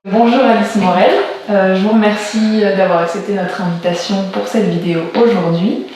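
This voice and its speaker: A woman hosting a podcast interview, reading a scripted introduction and then asking measured questions. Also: medium pitch, steady tone, a little mellow. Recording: very echoey, far from the mic, faint music.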